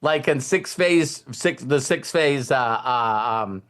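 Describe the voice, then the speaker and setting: A man's voice: mid-range voice, lively intonation, slow, fairly bright tone. A man hosting a casual motivational talk to a group, speaking informally and checking in with his listeners.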